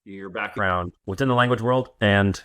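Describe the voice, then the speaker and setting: A man hosts an interview podcast with a fairly low voice. He asks his questions in a relaxed, chatty way, keeping things casual. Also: moderate pace, clean tone. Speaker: podcast host.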